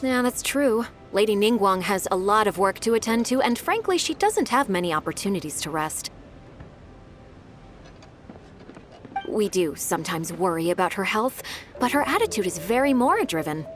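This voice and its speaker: A woman playing a diligent, eager young secretary in a video game, brisk and polite as she methodically explains things. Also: mid-range voice, sing-song, soft background music, natural voice. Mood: thoughtful, friendly.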